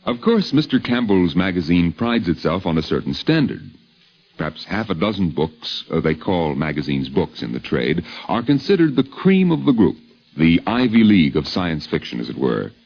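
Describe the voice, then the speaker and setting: An American man narrating a 1950s radio documentary in a literary, measured and dramatic way, on a vintage recording. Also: low-pitched, big pitch swings, slightly rough, dull audio.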